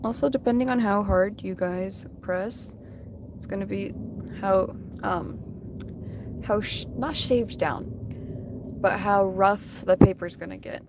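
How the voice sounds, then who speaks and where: A soft-spoken woman whose first language is Russian chats casually during a live drawing stream. She reads viewers' comments aloud and answers them in a friendly, unhurried way. Her voice sits at a middling pitch and is picked up by a phone. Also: background noise. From United States.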